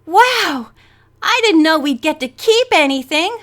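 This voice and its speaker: A woman voicing an excitable, starstruck teenage girl in a storybook, gushing and eager. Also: very high-pitched, unhurried, voice pushed up. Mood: excited.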